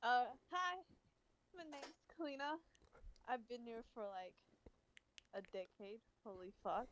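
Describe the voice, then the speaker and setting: Slow, lively-pitched young woman. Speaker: podcast participant. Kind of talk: casual self-introduction.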